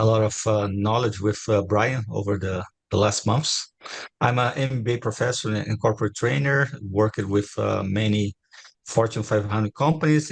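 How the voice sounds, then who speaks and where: A man with a Brazilian Portuguese accent co-hosts a webinar on a video call, his low voice rough with gravelly fry. He talks in a halting way, full of "uh"s and restarts. The sound is dry and close. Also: no room sound. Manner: halting, many fillers and restarts. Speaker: webinar co-host, innovation trainer. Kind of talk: webinar presentation.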